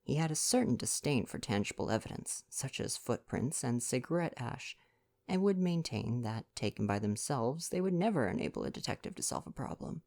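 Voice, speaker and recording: A young man reading a story gently, in a soft bedtime ASMR style. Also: medium pitch, lively intonation, moderate pace, creaky. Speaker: audiobook-style reader and ASMR performer.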